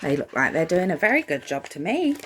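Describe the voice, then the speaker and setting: A woman reads a picture book aloud expressively, gently reassuring young listeners and putting questions to them. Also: lowish voice, big pitch swings, fairly quick, a little hiss or hum. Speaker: storybook reader for young children.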